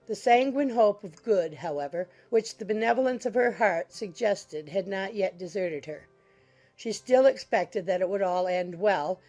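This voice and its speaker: A woman reading scripted literary narration for an audiobook in a measured, formal way. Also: mid-range voice, warm tone.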